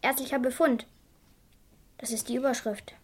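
A woman's voice: high voice, steady tone, normal speed, husky. A woman playing a cool, stubborn young girl in a German radio crime drama, speaking tersely and matter-of-factly.

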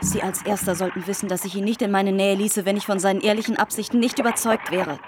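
An actress playing a proud, earnest young gentlewoman, speaking formally in a literary radio drama. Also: mid-range voice, fairly even intonation, quick pace, noisy background, lightly acted.

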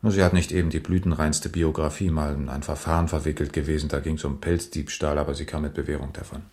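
Radio crime play: methodical police detective, deep voice, dry matter-of-fact reporting. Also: male voice, little pitch movement, fast talker, darkish tone. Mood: pondering, sober. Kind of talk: radio crime drama acting.

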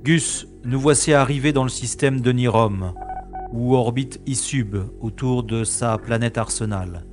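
A man playing a weary, bantering spaceship commander in a science fiction audio drama, with a measured manner. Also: mid-range voice, over music, natural voice. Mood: focused. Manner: measured, exasperated with his computer.

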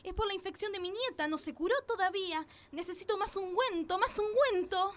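A woman's voice: extremely high pitch, a little fast, a bit bright. An actress playing a frail, pleading older woman in a radio drama. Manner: pleading, insistent. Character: frail, pleading older women: dying aunt, worried grandmother.

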